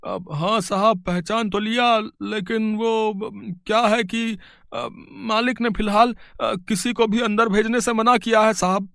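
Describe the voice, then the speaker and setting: An actor playing a kind, humble older male security guard in an animated story, speaking hesitantly with many "uh" fillers. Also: high-pitched, unhurried, vocal fry, dry recording, studio quality, exaggerated voice. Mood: apologetic. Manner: hesitant, full of "uh" fillers, deferential. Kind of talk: storybook voice acting.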